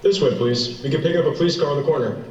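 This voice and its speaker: A man's voice: on the high side, fast, a little mellow, far from the mic, natural voice. A man acting in a radio play as a firm, officious police detective. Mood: stern. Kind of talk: stage radio drama acting. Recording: dull, echoey, off-mic, faint noise.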